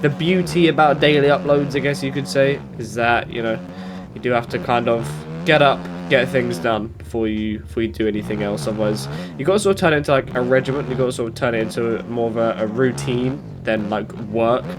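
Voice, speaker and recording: A British man talks casually over his own gameplay, his voice stuffy and blocked from hay fever. He rambles along with frequent ums and corrections, at an ordinary male pitch. Loud motorbike engine noise from the game runs underneath. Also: fairly quick. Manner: rambling, many fillers and self-corrections. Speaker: gaming YouTuber. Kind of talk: casual commentary while playing. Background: motorbike game engine sounds.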